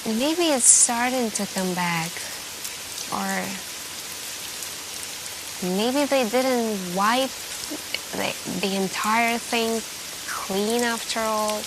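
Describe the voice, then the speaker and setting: A woman playing a shaken, secretive hacker friend in a soft-spoken ASMR roleplay, protective and hesitant as she confides. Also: a little high, noisy background. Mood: reassuring, earnest. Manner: hesitant, halting, hushed and confiding.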